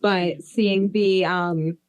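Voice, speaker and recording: A woman's voice: on the low side, fairly even intonation, drawn-out words, clean tone. A woman in her late twenties, a podcast guest, chatting casually and rambling eagerly with lots of fillers. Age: 20s. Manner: rambling, enthusiastic, many fillers. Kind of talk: casual chat.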